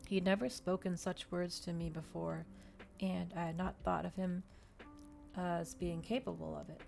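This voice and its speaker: A woman's voice: on the low side, little pitch movement, normal speed, vocal fry, darkish tone, soft background music. A woman narrating a novel aloud as a scripted ASMR reading. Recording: noticeable background noise.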